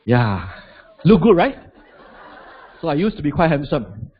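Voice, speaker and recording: A man's voice: fairly high pitch, sing-song, measured pace, husky, dull audio. A man in his forties with a Malaysian English accent, giving a chatty seminar talk and throwing rhetorical questions at the audience. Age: 40s. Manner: chatty, asks the crowd rhetorical questions, adds "lah". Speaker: motivational business speaker.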